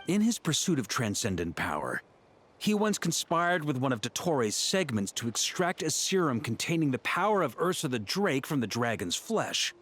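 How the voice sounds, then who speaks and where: Earnest, expressive knight officer. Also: male voice, mid-range voice, a little hiss or hum. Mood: solemn explaining. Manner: formal, measured, solemn. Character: earnest, dutiful knight officer, composed and grave. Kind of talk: video game voice acting.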